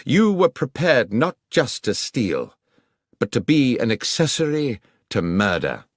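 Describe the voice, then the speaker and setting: Man acting out an incisive, commanding British detective, animated. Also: medium pitch, a little slow, no echo, clean studio sound. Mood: accusing. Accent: British English. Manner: precise, assured explanatory delivery. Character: brilliant, incisive male detective, coolly confident and commanding.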